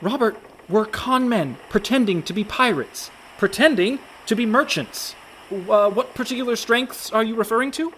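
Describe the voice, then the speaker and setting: A man's voice: sits high, moderate pace, fairly bright tone, slight background noise. An actor in a comic radio play, playing a sardonic, fretful con man posing as a pirate merchant. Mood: dry worry. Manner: dry, exasperated, worrying.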